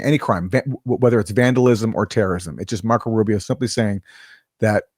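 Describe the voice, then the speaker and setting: A man's voice: mid-range voice, darkish tone, no room sound. A man talks conversationally as a show host, pointed and direct in his commentary. His voice is close and dry, with no room sound. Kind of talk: political commentary interview.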